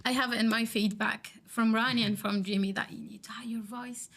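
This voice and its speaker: Arabic-speaking woman, halting and searching. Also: on the high side, steady tone, fairly bright tone. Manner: halting, with frequent restarts and searching for words. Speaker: student teacher. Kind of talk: interview answers on a podcast.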